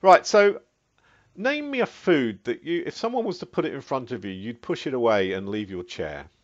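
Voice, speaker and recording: A man's voice: very animated, normal speed. A man hosting a casual interview, chatty and upbeat in his questions. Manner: chatty, jumps up in pitch on questions. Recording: muffled lo-fi recording.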